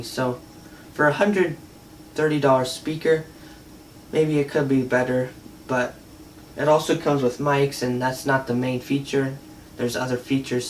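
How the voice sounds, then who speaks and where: A man's voice: medium pitch, a little hiss or hum. A man giving a casual, unscripted product demo in a hesitant, conversational way. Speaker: product reviewer. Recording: distant mic, faint music at times.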